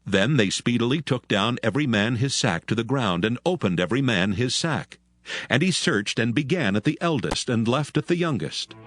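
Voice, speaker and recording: A man's voice: medium pitch, expressive, moderate pace, a bit bright, no room sound, studio quality. A man narrating scripture as a dramatized audio story, reading from a script.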